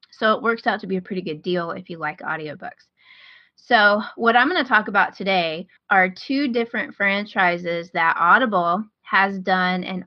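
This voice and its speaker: Woman, podcast host, enthusiastic, casual, medium pitch, dry close sound, slightly muffled. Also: dry recording. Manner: chatty, enthusiastic. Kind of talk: casual podcast recommendation talk.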